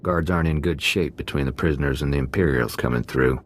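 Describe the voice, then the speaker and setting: An actor playing a rough, easygoing gang thug in a video game, clipped and casual with cheerful menace. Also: male voice, rumbling low, fast talker. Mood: casually menacing. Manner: clipped casual phrasing, deferential to the boss.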